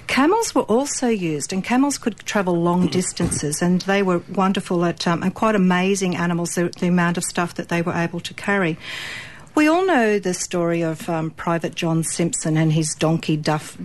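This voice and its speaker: An Australian woman guest on a radio show, explaining earnestly with frequent ums and restarts. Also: on the low side. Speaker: pet expert guest. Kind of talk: radio interview.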